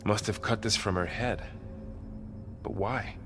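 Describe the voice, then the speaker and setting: A man playing a calm, earnest warrior and loyal protector in a video game. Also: lowish voice, measured pace, music in the background, close to an everyday voice. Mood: concerned. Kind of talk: video game cutscene acting.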